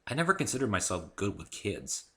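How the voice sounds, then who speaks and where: A man reads first-person fiction aloud in a dramatic storytelling style. His voice sits very low, yet has a sharp, forceful tone. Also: sing-song, a little fast. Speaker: author reading own story.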